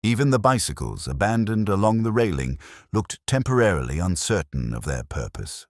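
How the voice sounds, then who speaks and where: A man playing an ageing, weary police detective, narrating an audio drama in a measured, literary, wry and reflective way. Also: lowish voice, big pitch swings, dry recording, studio quality.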